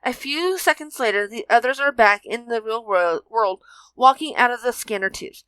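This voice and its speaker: A woman's voice: a little high, normal speed, a bit bright, clean tone, no room sound, low-quality recording. A woman narrating a scripted adventure story as its storyteller.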